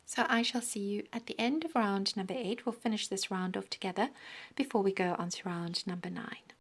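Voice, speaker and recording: A woman teaching a crochet pattern step by step, counting stitches aloud, her voice croaky and often dropping into a breathy half-whisper. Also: mid-range voice, fairly quick. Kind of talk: step-by-step craft tutorial.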